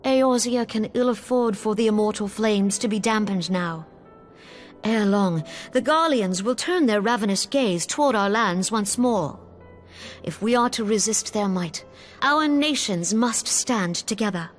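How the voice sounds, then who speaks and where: An actress playing a solemn, wise female leader in a fantasy game, speaking in a measured, stately way. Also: mid-range voice, faint music behind, lightly acted. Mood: concerned, grave. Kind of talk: formal fantasy game voice acting.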